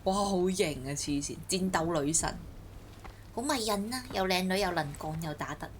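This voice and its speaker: A woman's voice: on the low side, normal speed, slight background noise. A woman with a Hong Kong Cantonese accent giving playful, chatty reactions as she livestreams a game. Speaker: game streamer. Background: game music and game audio.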